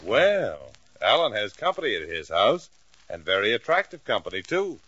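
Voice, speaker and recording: A man's voice: on the low side, big pitch swings, normal speed, background noise. A man announcing in the scripted, showy style of 1940s radio comedy. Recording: dull vintage radio recording, loud music behind.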